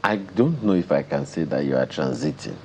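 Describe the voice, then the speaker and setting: A man's voice: rumbling low, expressive, brisk, warm tone, a little hiss or hum. A man co-hosting a television interview in a casual, teasing, bantering way. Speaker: TV co-host, interviewer. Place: television studio.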